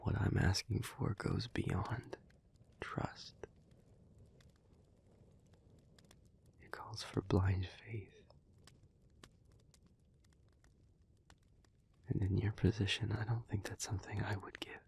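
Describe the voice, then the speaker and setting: A man playing an earnest, guilt-ridden demon in love, speaking in a hushed, breathy, halting voice in an intimate audio roleplay. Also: lowish voice, level tone. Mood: earnest, resigned. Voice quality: breathy, husky, whispery. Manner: slow, halting, hushed delivery with pauses.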